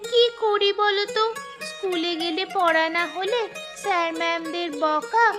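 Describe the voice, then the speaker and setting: A cheeky little village schoolgirl acted by a woman from a cartoon, with a very high, chirpy voice. She is talkative and chatty. Clear music runs behind her. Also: a little slow. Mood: chatty, complaining. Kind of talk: cartoon voice acting.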